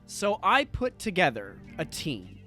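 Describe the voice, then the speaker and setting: High-voiced, animated man hosting a podcast. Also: a little slow, soft background music. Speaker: podcast host. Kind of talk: podcast talk introducing a segment.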